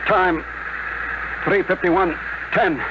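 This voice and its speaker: An actor playing a sober, exacting general aboard a rocket, formal and military, in 1950s radio drama style on a muffled, very noisy recording. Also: male voice, high-pitched, fairly even intonation, very slow. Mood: tense, official.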